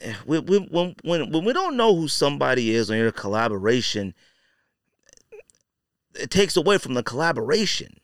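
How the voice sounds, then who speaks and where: A man's voice: on the high side, big pitch swings, moderate pace, no echo, clean studio sound. A man hosting a podcast solo, talking casually in a slangy, conversational, opinionated way. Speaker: sneaker podcast host.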